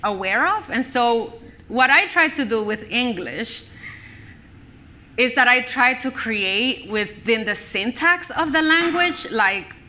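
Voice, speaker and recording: Woman, Dominican accent, Spanish native, bright slightly high voice, chatty live Q&A. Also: animated, slow pace. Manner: chatty, laughs often, lots of "like" and "you know". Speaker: author at a book talk. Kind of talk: casual live Q&A answers. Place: bookstore event space, live audience.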